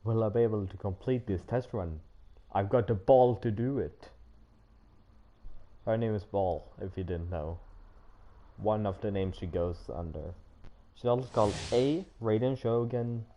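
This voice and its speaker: A man doing casual, rambling livestream game commentary, thinking out loud to himself. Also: lowish voice, a little slow, long pauses, highs cut off. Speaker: game streamer. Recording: loud game music behind voice. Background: video game music.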